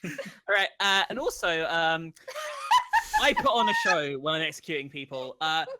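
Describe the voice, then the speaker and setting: A man improvising a boastful, playful job pitch in a comedy card game, laughing as he goes. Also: huge pitch swings, normal speed, heavy background noise.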